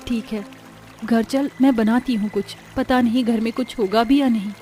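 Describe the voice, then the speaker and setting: A woman's voice: a little high, fairly even intonation, normal speed, mellow, soft background music, lightly acted. A woman playing a caring, protective young elder sister, a poor seamstress, in an earnest, soothing storybook manner. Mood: gentle.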